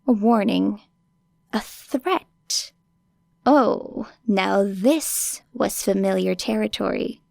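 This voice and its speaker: A woman's voice: medium pitch, slow, gravelly, darkish tone, dry recording, studio quality. A woman reading a story expressively as an audiobook narrator. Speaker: audiobook reader. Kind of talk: expressive scripted fiction narration.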